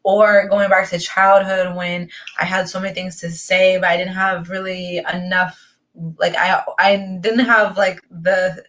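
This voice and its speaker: A woman's voice: on the low side, level tone, a little slow, a bit bright. A young woman talking about her own life in a thoughtful, rambling way, full of fillers and restarts. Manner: thoughtful, rambling, heavy on fillers like "like" and "you know". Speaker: spiritual coaching guest or host. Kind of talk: reflective personal talk.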